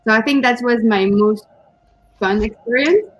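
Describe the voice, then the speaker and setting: A woman whose first language is not English, chatting casually as co-host of a writing stream, often pausing and hunting for words. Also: a little high, no room sound, rough recording. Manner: hesitant, searching for words, giggly. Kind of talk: casual chat on a writing livestream.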